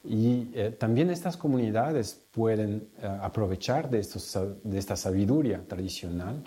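A male researcher speaking in a halting way, with many fillers and restarts. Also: on the low side, lively intonation, dark tone. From Italy. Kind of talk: interview remarks.

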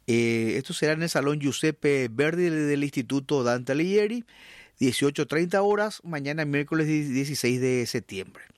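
A man's voice: a little high, lively intonation, normal speed, dry recording, studio quality. Paraguayan male radio host. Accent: Paraguayan Spanish. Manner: hesitant with many fillers and restarts, then reading news steadily. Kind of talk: talk radio hosting and news reading.

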